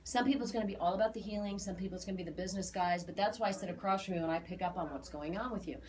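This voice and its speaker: American lady doing an aura reading, chatty n emphatic, mid pitch, repeats herself to make points. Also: racing, darkish tone. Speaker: aura reader. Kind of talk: psychic reading, casual explanatory chat with clients.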